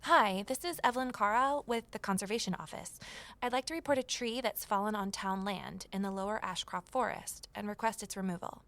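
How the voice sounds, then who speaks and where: A woman's voice: medium pitch, brisk, a bit bright, no echo, natural voice. A woman playing an earnest, observant office assistant turned amateur sleuth, narrating and speaking in an audio drama. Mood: polite, persistent. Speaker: narrator and lead character. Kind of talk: first-person audio drama narration and dialogue.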